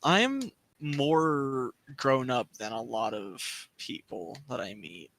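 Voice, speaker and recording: A man on a casual podcast, telling a childhood story quickly with giggles. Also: medium pitch, very animated, a bit bright, slight background noise. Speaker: podcast co-host. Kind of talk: casual chat.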